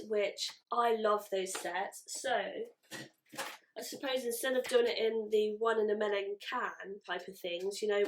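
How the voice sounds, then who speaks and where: A woman's voice: medium pitch, slight background noise. Woman unboxing makeup, a bit stuffy and nasal from a cold, rambly and chatty. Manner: chatty, rambling, self-correcting. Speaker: beauty vlogger. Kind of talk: casual product unboxing.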